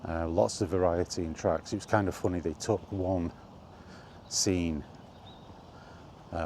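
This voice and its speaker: A man hosting a casual, rambling chat, thinking aloud with hesitant pauses. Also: low-pitched, mellow. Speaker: video host reminiscing about games. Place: on the move, walkie talkie vlog. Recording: faint ambient background noise.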